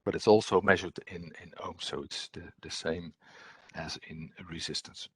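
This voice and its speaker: Deep, husky man presenting a technical webinar. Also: fairly even intonation, moderate pace, a bit bright, dry recording. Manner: hesitant, repetitive phrasing. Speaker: presenter, application engineer.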